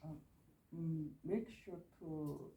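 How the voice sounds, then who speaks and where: A woman's voice: low-pitched, slow, mellow. A woman with a Korean accent, an adult learner hesitantly reciting an English dialogue and stumbling over words. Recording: echoey room, faint noise.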